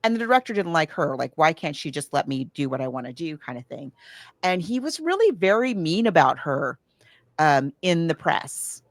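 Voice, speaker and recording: A woman podcast host with a slightly low, very lively voice. She tells stories casually and ramblingly, slipping into quoted lines as she goes. The sound is dry, with little room on it. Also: normal speed, no room sound. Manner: rambling, animated storyteller who acts out quotes. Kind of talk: casual chat about books and films.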